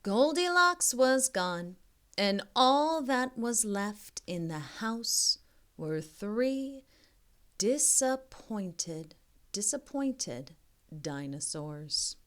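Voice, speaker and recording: Woman, children's read-aloud tutor: very unhurried delivery, lively melodic pitch, chatty teacherly asides. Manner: expressive, teacherly, pauses to question listeners. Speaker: reading tutor reading a picture book aloud.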